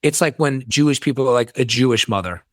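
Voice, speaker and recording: A man as a podcast guest in casual, animated chat, from the United States, using a lot of slang and laughing often. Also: mid-range voice, fast, no echo, clean studio sound.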